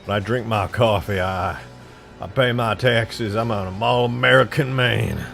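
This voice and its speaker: A man's voice: on the low side, a little slow, creaky, a bit bright, faint music behind. A man in his twenties chatting casually on a live stream while modding a game, rambling, joking and swearing freely. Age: 20s.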